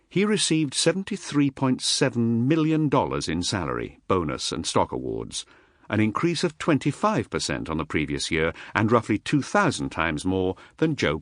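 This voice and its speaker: A low-pitched man narrates a nonfiction audiobook in a dry studio sound. His intonation rises and falls very widely, and his measured, emphatic phrasing gives each clause rhetorical weight. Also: no echo. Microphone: close studio microphone.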